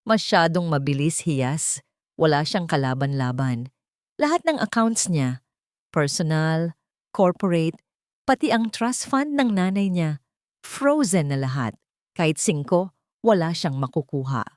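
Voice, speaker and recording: A woman's voice: sits low, expressive, unhurried, long pauses, a little mellow, no echo, studio quality. A woman voicing a smug, coolly dismissive male conspirator in an audio story.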